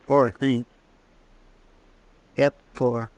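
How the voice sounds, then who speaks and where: An actor playing a deferential, plaintive frontier deputy with a rural American Western drawl, in old radio drama style. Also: male voice, medium pitch, little pitch movement, drawn-out words, no echo. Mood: tense, hushed. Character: folksy, anxious, deferential deputy of a frontier marshal. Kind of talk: 1950s radio drama acting.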